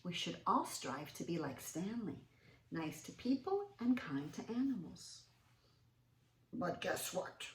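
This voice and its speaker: A woman reading a storybook aloud to young children in an expressive way, with little interjections and questions to her listeners. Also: lowish voice, slow pace, a little hiss or hum. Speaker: children's read-aloud host. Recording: roomy, echoing sound.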